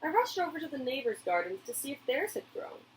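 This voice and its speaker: A woman narrating a children's storybook aloud in an expressive, deliberate way. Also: high voice, a little hiss or hum, low-quality recording. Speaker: teacher reading a picture book aloud.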